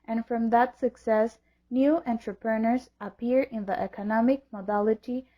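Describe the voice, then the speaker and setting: A woman reading a scripted historical narration in a formal manner. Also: on the high side. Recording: dull lo-fi audio.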